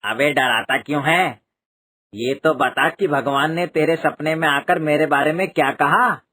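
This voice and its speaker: A superstitious village temple priest from a cartoon fable, voiced by a man, pitched on the high side. He is excitable and blustering, quick to scold. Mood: indignant. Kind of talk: Hindi cartoon moral story dub.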